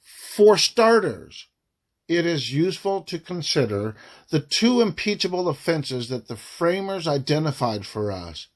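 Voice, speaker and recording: A man reads a formal report aloud, phrasing it deliberately and emphatically with frequent pauses. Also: a little high, very animated. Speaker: narrator reading a report aloud.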